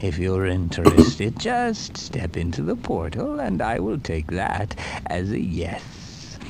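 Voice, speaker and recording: An actor playing a mysterious, cold, condescending man in a video game, with a halting, oddly stressed formal delivery and a gravelly, hissing voice. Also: deep voice, wildly swooping pitch, full character voice. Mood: smug, cold. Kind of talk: video game character monologue.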